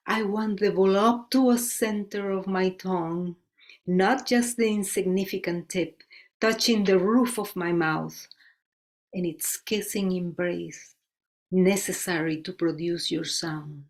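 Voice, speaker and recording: A woman from Colombia, reading her own poetry in a slow, measured recital and slipping in words. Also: fairly low pitch. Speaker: poet.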